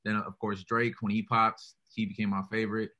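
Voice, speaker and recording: A young man with a deep voice, a rapper answering interview questions in a relaxed way, full of "like" and "you know". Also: a little fast, dull audio.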